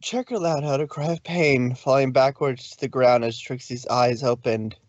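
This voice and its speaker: A man's voice: medium pitch, moderate pace, no echo, muffled recording. A man narrating a story informally, with a loose delivery in which he sometimes corrects himself. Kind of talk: informal fan fiction reading.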